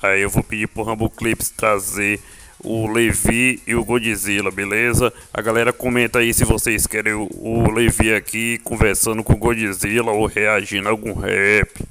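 An easygoing cartoon skeleton host played by a man with a low, forceful, bright voice. His delivery stays fairly even as he presents a fan-made reaction video, with music faintly behind. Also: moderate pace.

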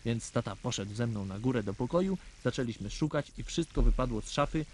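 Man playing a naive schoolboy storyteller, medium pitch. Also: animated, fairly quick, a little mellow, slight background noise, close to an everyday voice. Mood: earnest. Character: little boy narrating his childhood mishaps, naive and earnest. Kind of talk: scripted first-person storytelling in a radio play.

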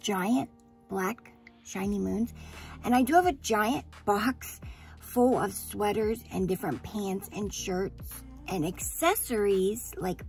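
A woman vlogger talking casually to camera, hoarse and a little scratchy after surgery, chatty and rambling as she gushes. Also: mid-range voice, takes it slowly, darkish tone, soft background music. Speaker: beauty vlogger.

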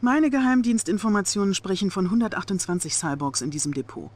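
A woman's voice: mid-range voice, expressive, fast, a little mellow, natural voice. A young woman playing a cool, probing Soviet intelligence officer in a dubbed video game cutscene, pointed and challenging.

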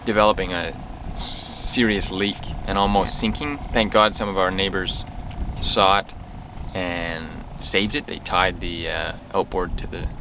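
Give me a calm young man explaining life on a boat. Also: sits low, measured pace, noisy background. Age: young adult. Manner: calm, explanatory, dry quips. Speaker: sailing vlog co-host. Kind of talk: casual chat to camera. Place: outdoors in a sailboat cockpit at anchor.

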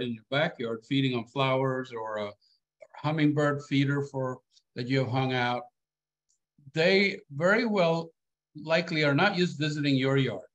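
A man's voice: mid-range voice, rough recording. Swedish-born older man, easygoing talk. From Sweden. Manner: relaxed, joking, many restarts and fillers. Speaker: guest speaker. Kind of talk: casual lecture over a video call. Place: home office. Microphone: video call.

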